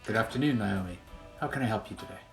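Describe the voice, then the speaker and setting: A man's voice: fairly low pitch, fast talker, vocal fry, music in the background. A man playing a helpful, insistent school principal in a radio play, explaining things conversationally. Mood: courteous. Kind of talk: amateur radio drama dialogue.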